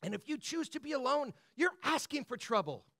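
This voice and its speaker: A man preaching as a pastor in a conversational, motivational style, colloquial and animated, often checking in with the listeners. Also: extremely high pitch, a little fast, creaky, no echo, clean studio sound. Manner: animated, colloquial, punctuates points with "right?" and "bro".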